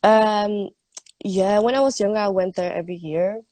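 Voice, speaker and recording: A woman's voice: medium pitch, fairly even intonation, moderate pace, warm tone, dry recording. A woman, chatting informally as a guest and searching for English words. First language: German. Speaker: guest, tattoo artist. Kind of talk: casual interview chat.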